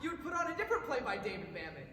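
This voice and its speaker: A woman's voice: high voice, very animated, very fast, bright, forceful tone, heavily acted. A woman acting on stage as an eager, gullible male infomercial co-host, with exaggerated wide-eyed delivery. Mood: eager, puzzled. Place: live stage in a large reverberant room. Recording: very echoey hall, distant, faint hiss.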